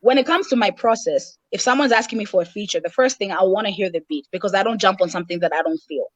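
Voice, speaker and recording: A woman's voice: medium pitch, quick pace, no room sound. A woman from Malawi, a rapper talking as a guest in a casual interview, candid and self-assured in long flowing answers.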